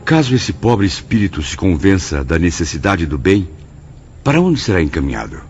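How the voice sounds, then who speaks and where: Earnest Brazilian spirit pupil, very low and expressive, radio drama. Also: male voice, moderate pace. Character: earnest male spirit apprentice, inquiring. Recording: dull audio, faint music.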